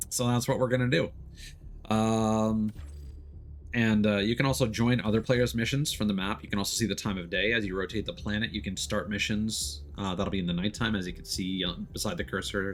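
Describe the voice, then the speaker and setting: Low-voiced male game streamer explaining things. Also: a bit bright. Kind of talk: casual gameplay commentary, explaining mechanics.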